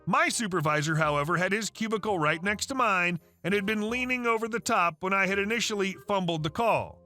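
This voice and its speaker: A man reading a first-person story aloud as scripted narration, with a steady read-aloud delivery. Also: sits high, fairly quick. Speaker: narrator of online stories. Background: faint background music.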